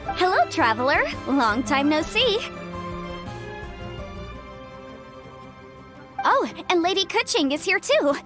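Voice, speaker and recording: A woman's voice: very high voice, big pitch swings, moderate pace, music in the background. An actress voicing a breezy, self-assured young woman consultant in a video game, articulate and playfully defensive. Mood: cheerful.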